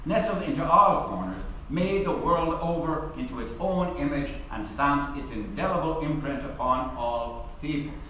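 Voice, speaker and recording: An ageing labour activist played by a man addresses a hall in a theatrical, oratorical way. He is principled and defiant, with deliberate dramatic pauses. The voice rings with heavy echo, caught away from the mic with faint noise. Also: on the high side, sharp tone, recorded from a distance. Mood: fervent, defiant. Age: middle-aged. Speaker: actor giving a dramatic reading. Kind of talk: theatrical reading of a public speech. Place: lectern on stage in a large hall.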